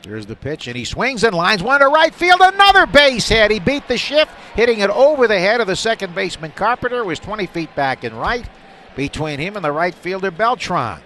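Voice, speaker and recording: A man calling baseball live on radio, describing each play in detail and getting excited on the big ones. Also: high-pitched, a little fast. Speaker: play-by-play announcer. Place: stadium broadcast booth. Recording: faint stadium noise behind a broadcast.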